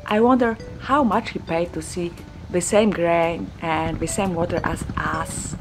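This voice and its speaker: Polish-native woman, 40s, unhurried voice-over over light music. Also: on the low side, poor audio. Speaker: vlogger narrator. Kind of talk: voice-over narration.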